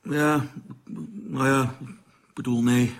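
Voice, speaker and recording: A man's voice: mid-range voice, flat delivery, slow. A man acting in a radio drama as a grumbling, nervy, plain-spoken space crewman who talks hesitantly. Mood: uneasy, wondering.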